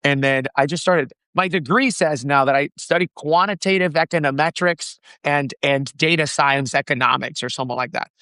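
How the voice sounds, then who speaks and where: A young man from the Dominican Republic, a founder being interviewed, talking in an animated way with frequent laughs and restarts. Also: on the high side, moderate pace, hardly any pauses, smooth, no echo. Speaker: startup founder, guest. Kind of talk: casual interview chat.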